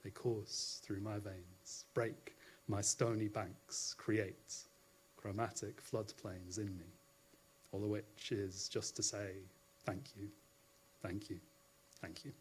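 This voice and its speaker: A man's voice: lowish voice, little pitch movement, takes it slowly, slight background noise, poor audio. A man performing spoken-word poetry as a poet at a live event, with an effusive, florid and theatrical delivery.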